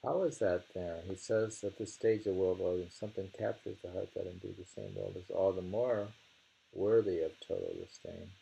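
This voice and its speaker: A man with a gravelly, husky voice reads translated poems aloud and muses over them informally, deliberate with the verse and tentative in his asides. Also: low voice, fairly even intonation, warm tone, poor audio. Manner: deliberate delivery when reading, musing and tentative in asides. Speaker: reader of poetry.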